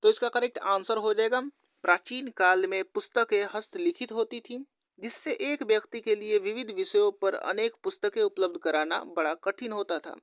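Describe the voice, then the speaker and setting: A woman's voice: mid-range voice, normal speed, no room sound. A woman teaching an exam-prep lesson in a methodical, instructional way, repeating questions and answers. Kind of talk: scripted quiz lesson narration.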